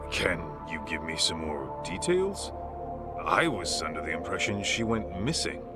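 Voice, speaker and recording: A man's voice: deep voice, big pitch swings, a little slow, loud music behind the voice. A man playing a courteous, probing middle-aged private investigator in an English-dubbed video game drama. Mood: serious, probing. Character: gruff but courteous male private investigator.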